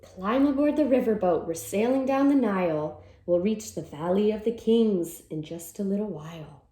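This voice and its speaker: Female storytime teacher, mellow tone, very animated pitch, simple explanatory style for kids, roomy echo. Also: normal speed. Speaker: teacher reading a picture book aloud to children.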